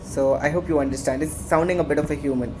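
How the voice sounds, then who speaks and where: A man's voice: on the high side, steady tone, fast, slight background noise, rough recording. A man with an Indian accent leading a casual screen-share workshop, talking conversationally and repeating phrases for emphasis. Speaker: workshop instructor.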